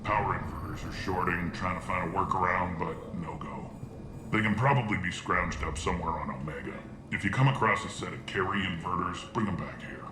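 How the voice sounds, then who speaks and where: A man plays a practical, gruff crewman in a sci-fi game. His deep voice is clipped, echoing over clear background noise. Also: brisk, close to an everyday voice. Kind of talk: cinematic video game acting.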